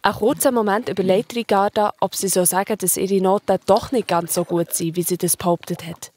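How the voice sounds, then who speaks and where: A woman's voice: mid-range voice, fairly even intonation, a little fast, a little mellow, dry recording. A woman with a Swiss German accent narrating a children's story in a colloquial, scripted style. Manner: colloquial, present-tense storytelling.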